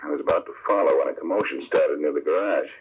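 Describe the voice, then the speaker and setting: Deep-voiced, smug gangster henchman. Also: fast, breathy edge. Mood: smug, calm. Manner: self-satisfied, matter-of-fact. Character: smug, cool-headed male underworld killer and henchman. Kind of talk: old-time radio drama acting. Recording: muffled old radio recording, faint hiss.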